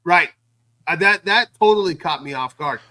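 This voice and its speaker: A man's voice: on the high side, lively intonation, moderate pace, pushed and bright. A man co-hosting a casual recap chat in an emphatic way, repeating phrases to stress his point. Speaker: podcast co-host.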